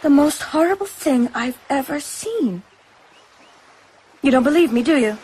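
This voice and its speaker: A defiant, high-voiced young island woman. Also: lively intonation, unhurried, darkish tone, poor audio. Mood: haunted, intense. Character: wary, defiant young island woman who collects shells. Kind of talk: radio drama acting.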